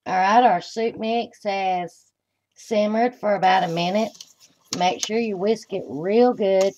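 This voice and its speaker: A woman's voice: medium pitch, steady tone, slow, a little mellow. A woman giving plain, folksy step-by-step cooking instructions as she works at the stove in a home kitchen. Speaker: home cooking show host. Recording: dull lo-fi camera audio, kitchen noise.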